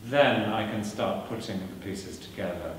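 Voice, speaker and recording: A man whose first language is Welsh, a performer speaking in a measured, thoughtful way with many fillers and restarts, heard from a distance in a large lecture hall. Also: on the low side, slight background noise. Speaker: performer giving a talk. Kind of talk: lecture answering audience questions. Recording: echoey hall recorded from a distance.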